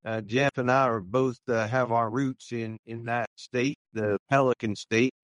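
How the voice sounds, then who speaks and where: A man's voice: medium pitch, steady tone, a little slow, a bit bright, no room sound. An older man, a pastor, sharing his testimony earnestly with frequent fillers and restarts. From Louisiana, US. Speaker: pastor, guest. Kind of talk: personal testimony in a radio interview.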